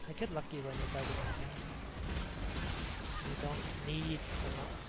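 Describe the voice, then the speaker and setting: A man's voice: on the high side, flat delivery, a little slow, heavy background noise. A man talking casually as he streams a game, rambling and repeating himself with some casual swearing. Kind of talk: casual chat while gaming.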